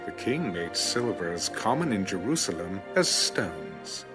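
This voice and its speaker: A man narrating scripture as a scripted drama, in solemn, measured storytelling. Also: low-pitched, sing-song. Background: background music.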